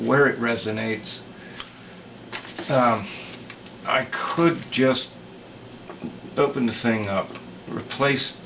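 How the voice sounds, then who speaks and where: A man giving a casual, unscripted technical explanation in a halting, thoughtful way, thinking aloud as he demonstrates repair work. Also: fairly low pitch. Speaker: repair video maker demonstrating electronics work. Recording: distant mic with clear background noise.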